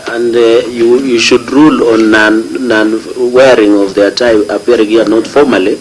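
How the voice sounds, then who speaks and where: A man's voice: medium pitch, fairly even intonation, moderate pace, rough recording. A man, a senator arguing a procedural point, insistent and talking over the chair. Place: parliament committee room.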